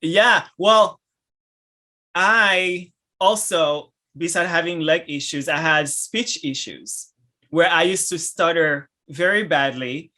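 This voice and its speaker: A man with a Haitian accent hosting a casual podcast chat, enthusiastic and laughing often, with halting restarts. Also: on the high side, bright, forceful tone. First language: French and Haitian Creole. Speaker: podcast host.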